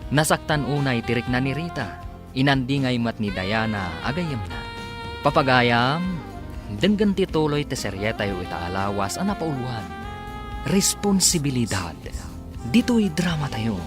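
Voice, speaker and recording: A man's voice: mid-range voice, big pitch swings, measured pace. A man delivering scripted radio drama narration in a dramatic announcer manner. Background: music.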